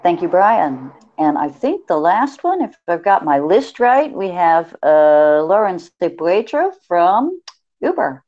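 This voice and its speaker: A woman moderating an online panel over a video call, lively and upbeat as she hands over to each speaker. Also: on the low side. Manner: lively, upbeat, quick hand-offs. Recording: dull video call audio.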